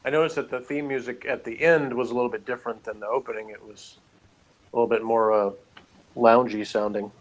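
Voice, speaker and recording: A man's voice: medium pitch, fairly even intonation, fairly quick, poor audio. A man co-hosting a casual review chat, talking in a rambling, matter-of-fact way. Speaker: podcast co-host reviewing a TV show.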